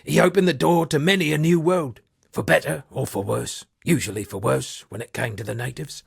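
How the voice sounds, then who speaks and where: Gravelly, theatrical roguish old-world sea captain. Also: male voice, mid-range voice, brisk, dry recording, studio quality, exaggerated voice. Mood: grave, guarded. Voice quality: gravelly, husky. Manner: theatrical, wry, flowery storytelling. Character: roguish shipwrecked sea captain, wry amateur poet. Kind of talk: video game character dialogue.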